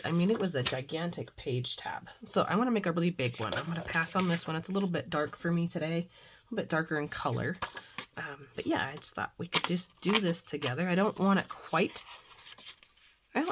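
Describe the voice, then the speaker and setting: A middle-aged woman, hoarse from the flu, chatting casually and rambling a bit as she hosts a faith and crafting video at home. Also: lowish voice, noisy background. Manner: chatty, rambling with frequent fillers. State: hoarse, recovering from flu with a cough. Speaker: craft channel host. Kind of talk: casual devotional and crafting chat.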